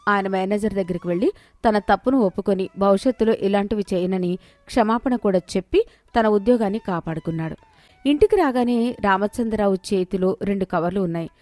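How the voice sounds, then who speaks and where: A woman's voice: medium pitch, fairly quick, a little mellow, dry recording. A woman narrating a scripted moral story as its storyteller.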